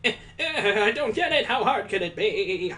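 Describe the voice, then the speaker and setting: A man's voice: sits high, lively intonation, normal speed, pushed and bright, soft background music, voice pushed up. A man voicing a rowdy, mouthy child in a visual novel, whiny and exaggerated. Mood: whiny, baffled.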